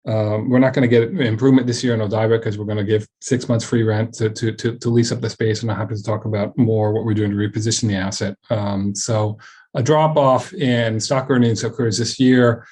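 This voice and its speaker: Halting man presenting company earnings. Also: fairly low pitch, flat delivery, darkish tone. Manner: halting, repeats words and restarts often. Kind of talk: semi-scripted business presentation on a web earnings call.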